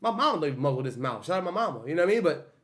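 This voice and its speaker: A man hosting a reaction channel, talking loosely in slang and breaking into quick hyped outbursts between calmer remarks. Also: a little high. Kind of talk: casual music video reaction commentary.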